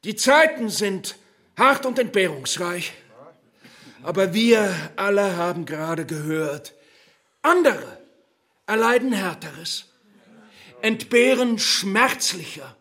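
A pompous Nazi functionary addressing villagers in a radio drama. His voice is raised high and bright, and he draws out his phrases slowly. The delivery is unctuous and rousing, with theatrical pauses. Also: sing-song, exaggerated voice. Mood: unctuous, solemn. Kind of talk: rousing wartime speech in a radio play.

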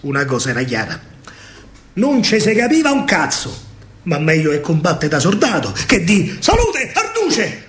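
A man with a Roman accent performing a theatrical verse monologue as a soldier, declamatory and full of pauses. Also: on the high side, very animated. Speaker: stage actor and storyteller.

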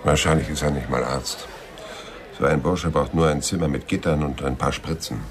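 An actor playing a sardonic, world-weary private detective in a radio crime drama, speaking in a dry, laconic deadpan. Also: male voice, a bass voice, moderate pace. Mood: cool, matter-of-fact. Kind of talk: radio crime drama acting and first-person narration.

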